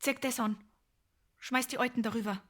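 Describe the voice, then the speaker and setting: A woman's voice: a little high, fairly even intonation, normal speed, lightly acted. A woman acting a fiercely protective, distrustful mother in a post-apocalyptic audio drama, in terse, clipped lines. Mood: protective, hushed. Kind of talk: acted audio drama dialogue.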